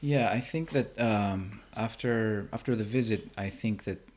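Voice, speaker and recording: A man from Greece with a fairly low-pitched voice explains his work as an interview guest. His delivery is hesitant, with halting phrases and words that he starts again. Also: a little mellow. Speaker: interviewed artist and filmmaker.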